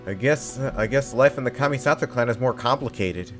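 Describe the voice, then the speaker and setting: A man's voice: medium pitch, brisk. A man giving casual gameplay commentary, reacting to the story with exclamations. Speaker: gamer playing through story quest. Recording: loud game music behind. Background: game music.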